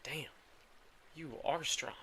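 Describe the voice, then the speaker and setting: A man's voice: a little high, steady tone, slow pace, sharp tone, a little hiss or hum. A man playing a guilt-ridden, protective male vampire in an intimate audio drama, with dry sarcasm and a weary, casual delivery. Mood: dry, teasing.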